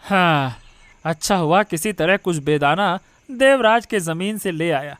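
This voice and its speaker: A man's voice: high-pitched, lively intonation, measured pace, a bit bright, a little hiss or hum. A man playing a doting, guilt-ridden poor village father in a cartoon moral story, tender and fretful. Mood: tender, eager. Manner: tender, fretful, musing aloud.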